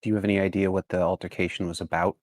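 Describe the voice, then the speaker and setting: Low, brisk male roleplayer. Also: flat delivery, dry recording, studio quality. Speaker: tabletop game player, speaking as a military commander. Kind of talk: in-character roleplay.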